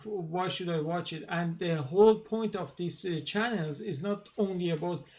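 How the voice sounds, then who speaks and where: Persian-accented man, halting monologue. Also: high voice, a little mellow. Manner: halting, many fillers and restarts. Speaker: channel host. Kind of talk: casual monologue to camera.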